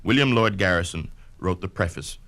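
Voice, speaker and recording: A man giving a measured, declamatory first-person reading of an autobiography for a vintage spoken-word record. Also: low-pitched, gravelly, fairly bright tone. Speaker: narrator reading a memoir.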